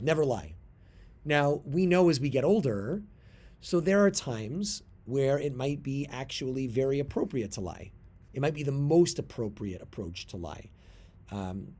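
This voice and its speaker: A middle-aged man with a mid-range voice teaches a lesson on religious texts. He puts deliberate weight on important words, pauses, and restates his points as he explains them. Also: mid-range voice, mellow. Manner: deliberate emphasis on key words, pausing and rephrasing. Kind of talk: explanatory video lesson, reading and translating texts.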